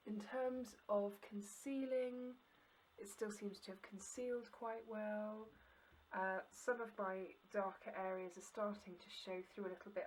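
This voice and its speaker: Some ambient noise sits behind a woman with a mid-pitched voice. She talks casually to the camera like a beauty vlogger giving a review. Her delivery is chatty, informal and understated. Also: poor audio.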